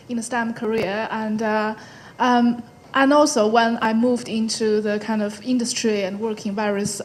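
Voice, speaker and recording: A woman from China, answering spontaneously as a panel guest and speaking thoughtfully in short phrases with frequent pauses. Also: fairly high pitch, darkish tone, a little hiss or hum. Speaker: panel guest, tech founder.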